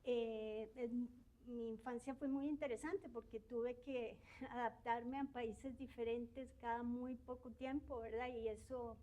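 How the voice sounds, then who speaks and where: A middle-aged woman from Argentina and Uruguay, a university academic reflecting in an interview, shy and soft-spoken with frequent tag questions and hesitations. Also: on the high side, steady tone, measured pace, poor audio.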